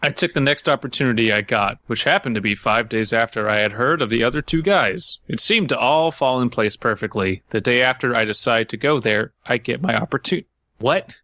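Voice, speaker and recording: A man's voice: mid-range voice, a little fast, sharp tone, no room sound, dull audio. A man co-hosting a podcast, reading a story aloud and breaking off into incredulous, joking asides.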